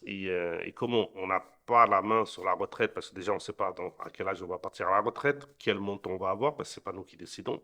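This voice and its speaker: A man's voice: lowish voice, fairly bright tone. A middle-aged man from Portugal, answering interview questions earnestly, often repeating and restarting words. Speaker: interview guest, entrepreneur.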